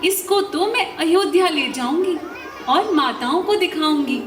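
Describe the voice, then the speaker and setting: A woman playing a gentle young princess and devoted wife in a theatrical mythological audio drama, in echoey, distant playback. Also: very high voice, expressive, unhurried, background noise. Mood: enchanted.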